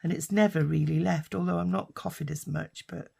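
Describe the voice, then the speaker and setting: Husky older British woman chatting. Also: fairly low pitch, fairly even intonation, a little fast, darkish tone. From United Kingdom. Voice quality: husky, raspy. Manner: rambling and chatty, with many fillers. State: hoarse from a lingering cough. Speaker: craft vlogger. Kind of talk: casual chat while crafting.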